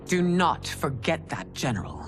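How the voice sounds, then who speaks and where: Casting: commanding middle-aged empress, low and very expressive, formal, demanding game royalty. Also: female voice, normal speed, over music. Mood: cold, scolding. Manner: regal, commanding, formal, scolding. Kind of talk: dramatic video game cutscene acting.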